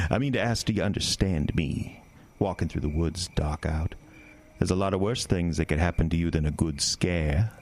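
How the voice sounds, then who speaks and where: A man's voice: deep voice, a little slow. An actor playing a sly, menacing stranger who corners a boy in the woods at night, with teasing, old-fashioned phrasing. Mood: sly menace. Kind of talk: horror audio drama.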